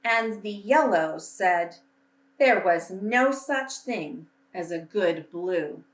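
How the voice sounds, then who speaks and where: A woman reading aloud to children as a storyteller, with a deliberate, simple delivery. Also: medium pitch, expressive. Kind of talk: children's picture book read-aloud with casual introduction. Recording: roomy echo, distant microphone.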